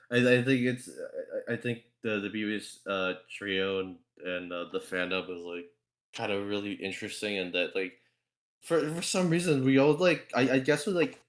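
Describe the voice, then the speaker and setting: A man's voice: fairly low pitch, normal speed. A man chatting casually on a podcast, rambling and swearing as he stammers through restarts. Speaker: podcast panelist and fandub voice actor. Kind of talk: casual group chat about a game fandub.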